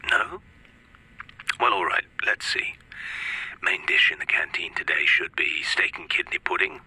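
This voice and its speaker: An actor playing a terse, weary British male secret agent in a radio drama, clipped and deliberate. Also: low-pitched, sharp tone, slightly rough, in a whisper, full character voice, poor audio. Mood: dry, sardonic.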